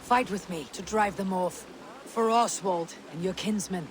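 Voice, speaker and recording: A woman playing a stern, resolute Viking raider leader in a game, speaking in a blunt, measured, commanding way. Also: mid-range voice, a crowd in the background, lightly acted. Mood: persuasive, firm.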